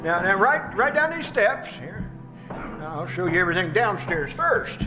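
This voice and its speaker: A creepy radio-horror householder with a high voice that leaps around. He is nervously polite, repeats himself and oozes sly, murderous glee. Loud music plays behind the live performance. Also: big pitch swings, normal speed. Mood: fussy, coaxing. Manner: stammering, repetitive, chuckling oily politeness. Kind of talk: old-time radio horror acting.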